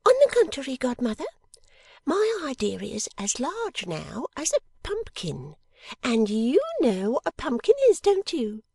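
A woman voicing a sharp, impatient, bossy young dolls' dressmaker in an audiobook, with emphatic, scolding delivery. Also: sits high, huge pitch swings, a little slow, gravelly, husky, dry recording, pitched above the performer's own voice, full character voice. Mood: eager, teasing. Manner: emphatic, scolding, theatrical exclamations. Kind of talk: dramatised audiobook character reading.

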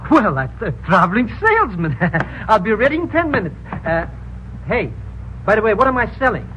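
An actor playing an excitable, whiny comic sidekick in a 1950s radio drama, nervous and full of wisecracks. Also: male voice, sits high, normal speed, a little hiss or hum, full character voice, poor audio. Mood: eager.

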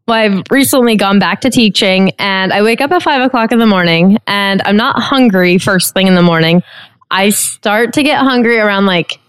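A woman interviewing a guest in casual conversation, chatty and quick to laugh. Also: mid-range voice, smooth, no room sound.

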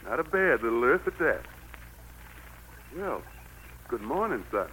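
A man's voice: medium pitch, big pitch swings, unhurried, pushed and bright. An actor playing God as a genial, fatherly country patriarch with a Southern US accent and a folksy, commanding manner in an old radio play. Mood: gentle, fatherly. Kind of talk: 1940s radio play acting. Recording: noisy old radio recording.

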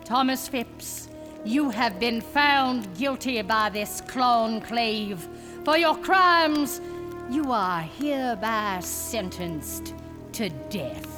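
A woman's voice: a little high, animated, extremely slow, music in the background. An actress playing a cold, commanding female cult leader in a radio drama, solemn and declamatory in ceremonial speech. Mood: grave, merciless.

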